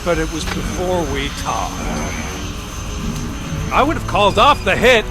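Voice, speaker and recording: Casting: taunting crime boss for a game, high male voice, menacing, loud music underneath. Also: big pitch swings, unhurried. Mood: mocking, threatening. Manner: mocking, menacing. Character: scheming male crime boss, mocking, threatening. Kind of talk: video game cutscene acting.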